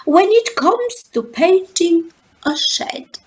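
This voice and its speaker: A woman's voice: extremely high pitch, lively intonation, takes it slowly, pitched above the performer's own voice, heavily acted. A woman voicing an eccentric, cheerful, self-assured old grandmother in a storybook, with a theatrical sing-song manner. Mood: proudly pleased.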